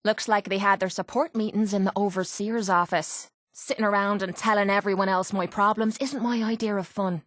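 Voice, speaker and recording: A woman's voice: mid-range voice, fairly even intonation, brisk, hardly any pauses, fairly bright tone, no echo, clean studio sound, close to an everyday voice. A woman playing a cynical, defiant young female brawler in a video game, with an Irish accent and a blunt, sardonic, slangy delivery. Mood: guarded, earnest. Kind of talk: video game character dialogue.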